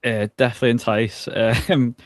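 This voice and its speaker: A man's voice: mid-range voice, level tone, moderate pace, no room sound. A man in his thirties chatting casually about video games as a guest. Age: 30s. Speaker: podcast guest.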